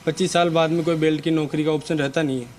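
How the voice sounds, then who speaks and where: A man's voice: on the high side, little pitch movement, quick pace, slight background noise. A man of twenty-one preparing for the army, speaking earnestly about his worries. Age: 20s. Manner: earnest, questioning. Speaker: army aspirant.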